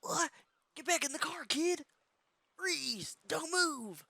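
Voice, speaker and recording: Lady acting as aggressive cop, super gravelly and sharp, yelling orders, anime fandub. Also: high-pitched, lively intonation, slow, dry recording, exaggerated voice. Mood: urgent, aggressive. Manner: shouting commands. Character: frantic, aggressive police officer barking orders. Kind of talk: fan-dub dramatic acting.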